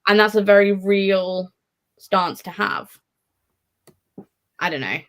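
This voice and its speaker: A woman's voice: medium pitch, slow. A woman talks through books in a casual, reflective way. Her speech is informal and peppered with swearing, like an open discussion among fellow readers. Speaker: participant in a live book readalong discussion. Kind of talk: casual chat about books.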